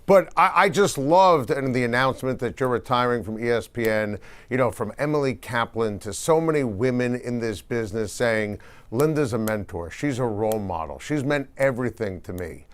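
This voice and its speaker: A man's voice: mid-range voice, animated, moderate pace. A man with a New York accent hosting a sports interview show, asking effusive, admiring questions. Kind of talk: interview conversation.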